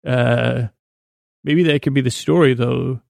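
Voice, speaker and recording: A man hosting a podcast with a halting, meandering bedtime monologue in a creaky voice, often trailing off mid-thought. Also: mid-range voice, fairly even intonation, a little mellow, dry recording, studio quality. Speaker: podcast host. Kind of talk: rambling comedic bedtime monologue.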